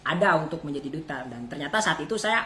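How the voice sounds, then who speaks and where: Young indonesian guy, native sundanese speaker, echoey room w loud noise, halting student interview answers. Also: a little high, lively intonation. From Banten, Indonesia. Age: early 20s. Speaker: interview guest, student contestant. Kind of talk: halting self-introduction and explanation.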